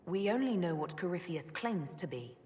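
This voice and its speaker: An actress playing a level-headed, guarded leader in a fantasy video game, speaking in a dry, direct way. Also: female voice, fairly low pitch, lively intonation, normal speed, dark tone, natural voice. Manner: dry, direct, matter-of-fact. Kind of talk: video game character dialogue. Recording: long hall reverb.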